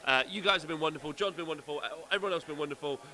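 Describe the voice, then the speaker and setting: Male stream host, bright tone, halting chatty delivery with lots of ums. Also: fairly high pitch. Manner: chatty, halting, with many fillers. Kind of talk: casual live commentary.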